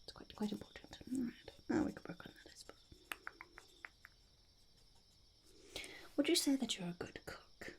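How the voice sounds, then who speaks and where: A woman voicing an eccentric, self-important goblin in a whispered ASMR roleplay, breathy with creaky edges, fussy, hesitant and repetitive. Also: a little high, animated, fast talker, natural voice. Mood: probing, self-important. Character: eccentric, self-important goblin overlord interviewing a would-be sidekick.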